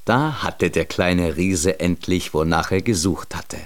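A man's voice: low-pitched, a little slow, no echo. A man narrating a children's fairy tale in a radio play, in a storytelling style.

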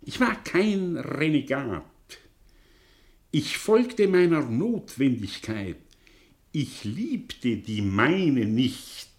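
A man's voice: mid-range voice, creaky, slightly rough, full character voice. An actor playing a vain, bitter, brilliant middle-aged man in a radio drama, speaking in a theatrical, declamatory way with broken, emphatic phrases. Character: vain, bitter, brilliant man disowning his own origins. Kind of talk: mid-century German radio drama monologue.

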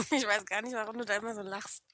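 A woman with a regional German dialect co-hosting a casual streamed podcast, playful and quick to laugh as she reads out chat names. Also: mid-range voice, fairly even intonation, sharp tone, no echo. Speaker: podcast co-host.

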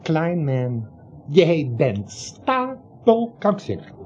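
An actor playing an arrogant, coldly obsessive doctor in a radio play, pompous, deliberate and condescending. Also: male voice, a little high, sing-song, darkish tone, exaggerated voice. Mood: scornful. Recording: muffled old radio recording, faint hiss.

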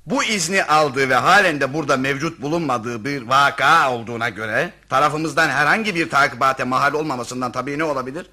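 An actor playing an officious, evasive police commissioner in a satirical radio play, pompous and pedantic in bureaucratic officialese. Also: male voice, mid-range voice, a little fast, hardly any pauses, sharp tone. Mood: condescending, officious. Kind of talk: radio theatre acting, satirical comedy.

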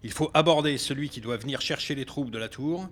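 An actor playing a serious, matter-of-fact rebel leader in a science fiction audio drama. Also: male voice, mid-range voice, fairly quick, a bit bright, lightly acted. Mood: serious, businesslike. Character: reproachful male rebel leader briefing fighters.

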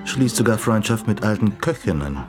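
An actor playing a British secret agent in a radio play, courteous, hesitant and deferential, dropping in English phrases. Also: male voice, fairly low pitch, animated, normal speed, over music, lightly acted. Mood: polite, surprised.

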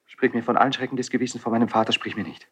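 A man acting as a passionate, tormented young crown prince in a classical radio drama. His mid-pitched voice declaims verse with raw emotion, moving between hushed brooding and outbursts. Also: steady tone, racing, husky, lightly acted.

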